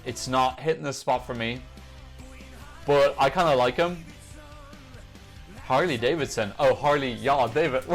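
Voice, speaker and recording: A man with a medium-pitched voice hosts a casual music reaction. He talks off the cuff, chatty and opinionated, working out his thoughts aloud. Music plays behind his commentary. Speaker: host of a music reaction show. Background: songs from music videos being reviewed.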